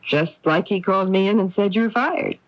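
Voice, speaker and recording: A middle-aged actress playing a poised, brisk, reassuring newspaper columnist in a radio drama. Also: female voice, medium pitch, lightly acted. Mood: guarded, informative. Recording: dull, dry radio broadcast tape.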